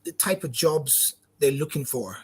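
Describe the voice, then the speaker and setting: Husky-voiced man with a light Barbadian accent. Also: a little high, animated, unhurried, a little mellow. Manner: talkative, friendly, repeats words while thinking. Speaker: guest, platform founder. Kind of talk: interview answers. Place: studio.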